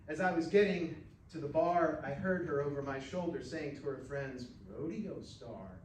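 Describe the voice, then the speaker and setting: A man's voice: fairly high pitch, steady tone. A man reading a first-person short story aloud in a dramatic, folksy storytelling manner, recorded at a distance in a roomy space. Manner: folksy, unhurried cowboy storytelling. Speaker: actor reading fiction aloud. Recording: roomy, distant mic with faint noise.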